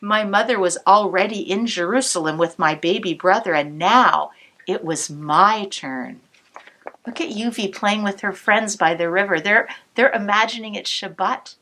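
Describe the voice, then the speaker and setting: A woman cantor reading a picture book aloud to children, expressive, pausing to point out the pictures. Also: mid-range voice. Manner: warm, expressive storytelling with asides to the listener.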